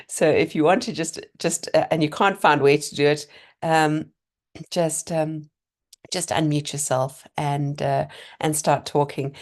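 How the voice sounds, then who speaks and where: A middle-aged woman, a little hoarse, giving an online seminar in a chatty, encouraging way over a video call. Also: low-pitched, darkish tone. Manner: chatty, encouraging, thinking aloud with fillers and restarts. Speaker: lecturer presenting a webinar.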